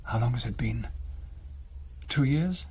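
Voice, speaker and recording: A chilling Nazi chief in a radio drama. His husky, mid-pitched voice moves expressively up and down. He is courteous and pompous, with a soft threat under every measured phrase. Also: animated, full character voice. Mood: mock-pious menace. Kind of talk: broadcast radio drama acting.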